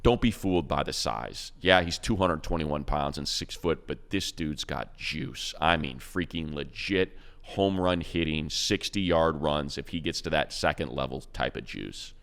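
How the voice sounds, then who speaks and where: A man co-hosting a sports podcast, breaking down a player with quick, enthusiastic stats and slangy praise. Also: rumbling low, creaky, a bit bright. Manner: fast, enthusiastic stat-heavy breakdown. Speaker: radio play-by-play announcer, co-host.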